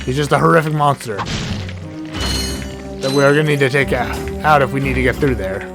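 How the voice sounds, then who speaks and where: A hoarse man, sick, giving rambling casual commentary while playing a game, often stuttering and correcting himself. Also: mid-range voice, fairly even intonation, fairly quick. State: hoarse from illness. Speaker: let's play gamer. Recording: game music underneath.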